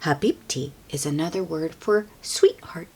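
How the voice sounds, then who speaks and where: Female storybook reader, husky and unhurried, expressive delivery that drops into hushed asides for young listeners. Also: low-pitched. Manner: slow, expressive, hushed at times, explains words simply. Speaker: children's book read-aloud host. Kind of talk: storybook read-aloud with asides to children.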